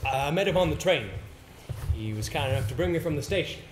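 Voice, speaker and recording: An actor on stage playing a polite, inquisitive, slightly flustered solicitor with a formal, hesitant manner. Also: male voice, fairly high pitch, animated, fast, lightly acted. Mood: polite, puzzled. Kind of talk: live stage play acting. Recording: echoey hall, stage play recording with hiss.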